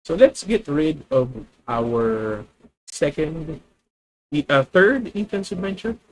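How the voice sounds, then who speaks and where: A young man with a Filipino accent plays a card game live and talks through every move as he makes it. He often stops to correct himself and sometimes turns to speak to his viewers. His voice sits fairly high for a man. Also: animated, takes it slowly, poor audio. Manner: thinking aloud, self-correcting, addresses viewers. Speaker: streamer. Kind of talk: live gameplay commentary.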